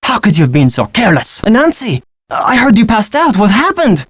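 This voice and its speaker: A man's voice: high-pitched, wildly swooping pitch, normal speed, breathy edge, dry recording, clean studio sound, exaggerated voice. Swooping, theatrical Frenchman. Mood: irritated. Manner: theatrical, condescending. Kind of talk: video game character acting.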